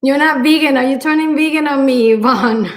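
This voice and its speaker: A middle-aged woman from Puerto Rico with a strong Puerto Rican accent, chatting live with her viewers and thanking them, with many pauses and fillers. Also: high voice. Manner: warm-hearted, grateful, frequent fillers and pauses. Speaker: cooking channel host. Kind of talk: live stream chat with viewers.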